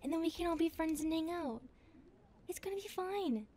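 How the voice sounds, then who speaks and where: A woman's voice: very high-pitched, animated, normal speed, background noise. A woman voicing a nervous, chatty young grasshopper girl full of self-doubt in an ASMR roleplay. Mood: reassuring. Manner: hesitant, chatty. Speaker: roleplay voice actress.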